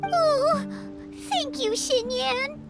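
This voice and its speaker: A woman voicing a tiny, excitable, greedy fairy companion in a video game, squeaky and childlike, speaking of herself in the third person. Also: drawn-out words, soft background music, full character voice. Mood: cheerful. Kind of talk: animated game voice acting.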